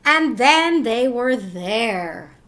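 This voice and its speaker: A woman teacher reading a picture book to young children, expressive and instructive, pausing to ask questions. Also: on the high side. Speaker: teacher reading aloud to pupils.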